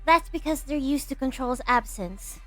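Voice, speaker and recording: A woman's voice: sits high, animated, moderate pace, pushed and bright. A woman playing a shrewd, wry, insistent doctor in an amateur game fan dub. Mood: urgent.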